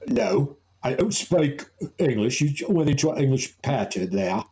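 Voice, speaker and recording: Man, podcast guest, mellow, warm tone, rambling playful storyteller. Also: medium pitch, lively intonation, measured pace, a little hiss or hum. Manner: playful, slips into silly put-on voices. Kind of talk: casual chat.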